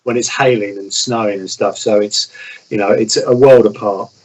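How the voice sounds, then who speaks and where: A man's voice: on the low side, steady tone, normal speed, darkish tone, slightly rough, low-quality recording. A man talking informally as an interview guest, explaining his field. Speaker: airline pilot, interview guest.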